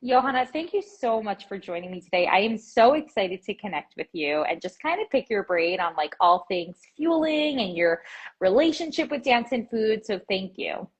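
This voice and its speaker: A woman's voice: medium pitch, big pitch swings, normal speed, husky. A woman hosting an interview podcast, talking in a casual, affirming way with frequent fillers and restarts. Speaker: podcast host, dietitian. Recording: intro over music.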